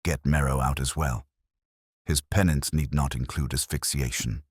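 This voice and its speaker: A man's voice: very deep voice, steady tone, moderate pace, slightly rough, no room sound, clean studio sound. A man voicing a coolly precise, commanding Victorian detective with curt, cerebral delivery in an audiobook. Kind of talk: audiobook character dialogue.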